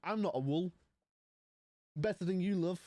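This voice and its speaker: A man's voice: sits high, moderate pace, warm tone, dry recording, studio quality. A man, casually chatting and bantering with his livestream chat. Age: 20s. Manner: banters with chat, mocking and playful. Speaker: streamer.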